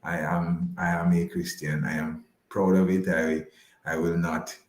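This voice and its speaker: A man speaking as an interview guest, measured and courteous, with slightly formal wording. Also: deep voice, flat delivery, roomy sound. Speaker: interview guest, financial advisor. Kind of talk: conversational radio interview.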